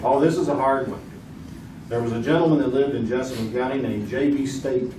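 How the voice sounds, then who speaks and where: A man's voice: medium pitch, fairly quick, natural voice. A man playing a genial, joking, folksy host of an old-time radio quiz show. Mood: genial, teasing. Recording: roomy, distant, noisy stage recording.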